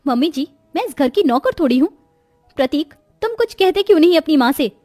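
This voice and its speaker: A woman's voice: sits high, lively intonation, brisk, warm tone, no echo, faint music behind. A woman playing a vain, spiteful young sister in a cartoon moral story, with a snooty, taunting manner. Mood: snooty, indignant. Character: vain, haughty, spiteful young pretty sister and daughter-in-law.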